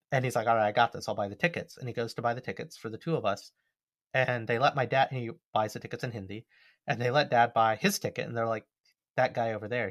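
A man's voice: medium pitch, fairly bright tone, no room sound. A man with an American accent talking casually as a podcast co-host, telling stories in a joking, conversational way. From United States.

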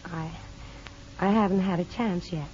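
A dull old radio recording with light noise carries an actress as a young nurse. She is earnest and evasive, with a mellow, gentle tone. Her delivery is hesitant and pleading, in classic 1950s radio drama manner. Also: fairly low pitch, measured pace, natural voice. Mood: guarded, uneasy. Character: anxious young nurse hiding a past, evasive, affectionate.

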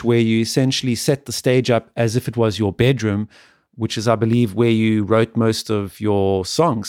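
A man hosting a music interview, putting prepared questions in a polite, measured way. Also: mid-range voice, a little mellow, no echo, studio quality. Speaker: interviewer, host.

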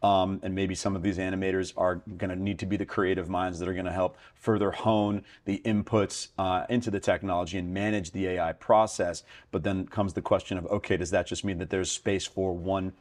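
A man's voice: low voice, flat delivery, fairly quick, hardly any pauses, a little mellow. A man hosting an interview, thinking aloud in a rambling way with many fillers and restarts. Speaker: podcast host. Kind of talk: conversational interview.

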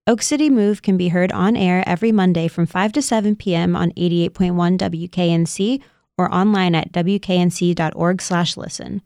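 A woman reading a scripted radio station announcement in an even, read-out way. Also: lowish voice, no echo, clean studio sound.

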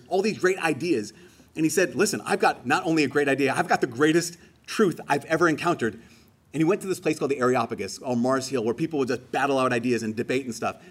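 A man's voice: fairly high pitch, breathy edge. A man giving a homily in a conversational, emphatic way, rising on key phrases. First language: English. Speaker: preacher.

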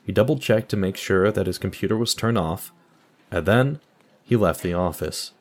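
A man's voice: low-pitched, normal speed. A man delivering scripted narration and announcements for an audio drama.

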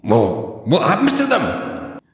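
A man reads a wry man in a storybook, exasperated and dryly sarcastic, his lively voice swinging up and down. The sound is roomy and echoing with a long reverb. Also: fairly high pitch, takes it slowly, pitched above the performer's own voice, full character voice. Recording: echoey room with long reverb.

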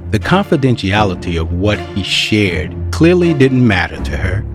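A man's voice: fairly low pitch, big pitch swings, normal speed. A man narrating an audio drama as a storyteller, with wry, colloquial asides. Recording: music bed behind narration. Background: music.

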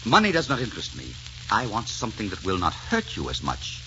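An actor playing a suave, daring French barber who poses as a duke, gallant, teasing and theatrical in 1940s radio drama style. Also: male voice, on the low side, huge pitch swings, a little fast, bright, forceful tone, slight background noise, full character voice. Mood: smoothly menacing. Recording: dull 1940s radio recording with music.

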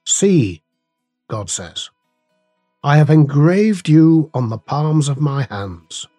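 A man reads a scripted devotional at a slow, deliberate pace, leaving pauses between phrases. His voice sits at a middling male pitch. The recording is dry and close, with music underneath. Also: dark tone, no room sound. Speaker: devotional reader and host.

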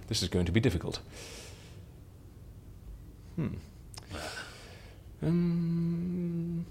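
An actor playing an eccentric, chatty British gentleman fixer in an audio drama, effusively polite and easily flustered. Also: a little high, slightly rough, exaggerated voice.

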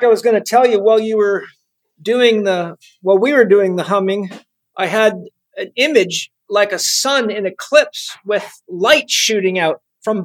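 Middle-aged man, podcast host, high-pitched, enthusiastic, rambling, casual chat. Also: fairly bright tone. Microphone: podcast microphone.

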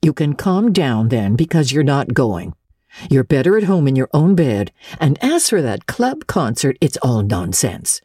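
A woman's voice: a bass voice, big pitch swings, a little slow, mellow, husky, no room sound, studio quality, heavily acted. A woman voicing a stern, no-nonsense older woman guardian in a storybook reading, curt, emphatic and sarcastic. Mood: stern, scolding. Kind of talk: dramatic audiobook reading of classic literature.

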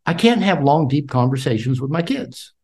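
A man's voice: a little high, sing-song, moderate pace, darkish tone. A man in his sixties, a podcast guest and author, talking casually and often repeating words as he starts sentences. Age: 60s. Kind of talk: casual interview talk. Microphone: call.

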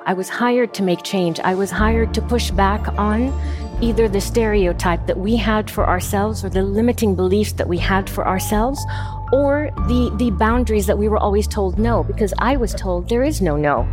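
A middle-aged woman from Saudi Arabia telling her story as an interview guest, candid and emphatic. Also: mid-range voice.

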